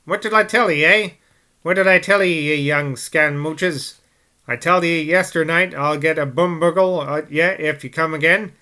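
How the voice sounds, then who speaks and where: A man's voice: on the high side, normal speed, sharp tone. A man doing a growling grumpy old countryman. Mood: scolding. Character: grumpy old rustic man, ogre-like mutterer.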